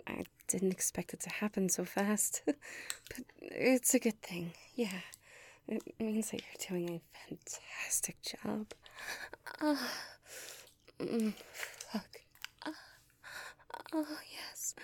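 A woman's voice: high-pitched, warm tone. A young woman speaking in an intimate audio roleplay, whispering breathily and sighing between words.

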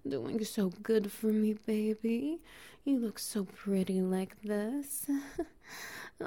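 A woman voicing a doting, dominant female bear-woman, whispering softly and teasing with breathy laughs in an intimate audio roleplay. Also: medium pitch.

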